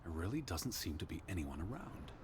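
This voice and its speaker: An actor playing a cautious, earnest American gentleman naturalist of the 1920s in a video game, proper and reluctant in manner. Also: low-pitched, sing-song, a little fast, slight background noise. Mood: dry, doubtful.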